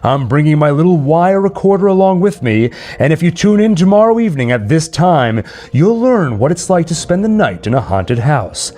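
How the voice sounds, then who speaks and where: Man as 1940s radio-play disc jockey, showy, teasing, very lively pitch, drawn-out emphasis. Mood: excited, teasing. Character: breezy 1940s radio disc jockey hyping a haunted-house stunt. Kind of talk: classic radio play acting.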